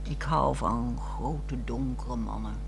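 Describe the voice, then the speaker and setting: A restless wife, coaxing and frank, old radio play with faint music. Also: female voice, extremely low pitch, slow, gravelly, full character voice. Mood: teasing. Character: restless, yearning wife, coaxing and frank, craving new romance. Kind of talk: 1960s Dutch radio drama acting.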